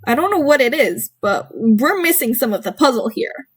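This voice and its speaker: A woman voicing a perceptive, suspicious teenage girl from a superhero school, measured and pondering, in a story reading. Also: sits high, sing-song, husky, full character voice.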